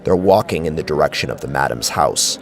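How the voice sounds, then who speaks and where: A man with a gravelly voice narrating a horror story in a dramatic, scripted style. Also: very deep voice, lively intonation, brisk. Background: clear music.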